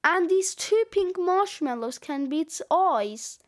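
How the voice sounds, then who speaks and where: A woman's voice: very high voice, animated, slow, exaggerated voice. A woman voicing an eager, easygoing young boy in a children's audiobook, breezy and coaxing. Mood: cheerful. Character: young boy Terry, eager, easygoing, playful best friend.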